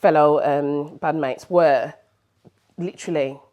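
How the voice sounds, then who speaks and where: A woman's voice: sits low, steady tone, slow, muffled recording. A middle-aged British woman doing a casual, chatty radio interview in an informal, giggly, encouraging way.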